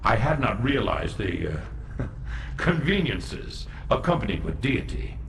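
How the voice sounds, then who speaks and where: An actor playing an alien warrior companion in a video game, formal and deliberate, with a gravelly voice. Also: male voice, fairly low pitch, sing-song, heavy background noise, heavily acted. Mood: measured, dry. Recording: echoey, loud music behind the voice.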